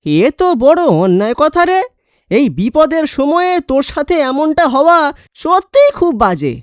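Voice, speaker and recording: A woman voicing a kind male vegetable-seller friend in an animated storybook, chatty and consoling. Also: high-pitched, normal speed, dry recording, clean studio sound. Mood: concerned. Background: background music.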